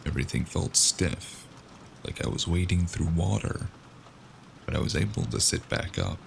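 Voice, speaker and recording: Battered, world-weary noir narrator, male actor: low register, heavy fry, audio drama with clear background noise. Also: lively intonation, moderate pace, heavily acted.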